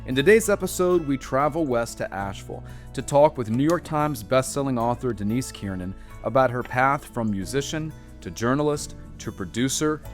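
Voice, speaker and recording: A man's voice: medium pitch, expressive, normal speed, few pauses, soft background music. A man from North Carolina hosting a book podcast, interviewing a guest in her home with friendly affirmations. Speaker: podcast host.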